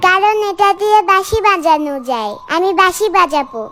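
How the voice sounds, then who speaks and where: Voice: very high voice, animated, fairly quick, bright, forceful tone, a little hiss or hum, heavily acted. A performer voicing a small, blunt, naive schoolchild in a children's cartoon, with music under the voice. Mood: naive, curious.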